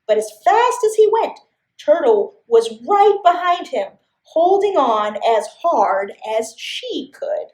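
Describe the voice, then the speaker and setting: A woman reading a story to young children in an expressive, sing-song way, often putting questions to her listeners. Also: high-pitched, slow pace, echoey room, poor audio. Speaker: library story time host and reader.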